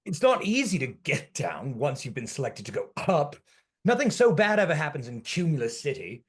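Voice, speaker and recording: A man's voice: fairly high pitch, big pitch swings, normal speed. A man playing a world-weary forty-year-old from another century, formal and fatalistic, in a dramatic table read. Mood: bitter. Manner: formal old-fashioned phrasing, theatrical, fatalistic.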